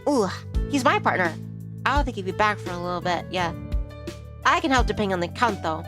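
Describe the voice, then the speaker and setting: A woman's voice: medium pitch, animated, fast talker, music in the background, lightly acted. A woman voicing a polite, eager young public relations worker, earnest and a little hesitant, in a visual novel. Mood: helpful, professional.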